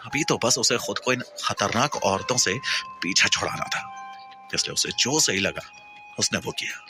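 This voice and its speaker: A man's voice: fairly low pitch, expressive, a little slow, sharp tone, faint music behind. A man narrating a scripted audio story in a dramatic, suspenseful, emphatic way.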